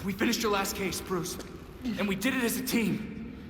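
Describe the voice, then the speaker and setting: An actor playing a loyal, cocky young hero in a video game cutscene, emotional and informal. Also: male voice, sits high, moderate pace, natural voice. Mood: earnest, reassuring. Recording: echoey space, loud background noise.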